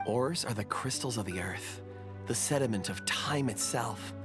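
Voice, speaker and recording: An actor playing a gentle, polite young man who is fond of rocks and speaks earnestly. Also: medium pitch, normal speed, over music, natural voice. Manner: soft-spoken, polite, earnest.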